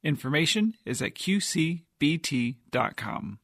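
Male radio announcer, higher pitch, dry studio read. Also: big pitch swings, a little slow, a bit bright, no room sound.

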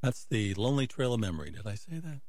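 A man with a gravelly voice hosting a radio music show, chatting casually with rambling, folksy asides to himself. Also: mid-range voice, big pitch swings, fast talker, a little mellow. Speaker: radio host.

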